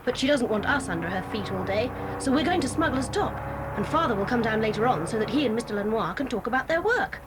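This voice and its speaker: A woman's voice: a little high, lively intonation, fast, close to an everyday voice. A woman playing a headstrong, blunt tomboy girl devoted to her dog in a children's audio drama. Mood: matter-of-fact. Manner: blunt, emphatic. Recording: noisy old cassette tape.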